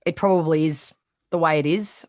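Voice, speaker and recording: A woman in her thirties with a raspy voice and a strong Australian accent, talking bluntly as a guest in a casual interview. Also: deep voice, moderate pace, no echo, clean studio sound. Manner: blunt, animated, swears freely. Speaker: sports reporter, guest.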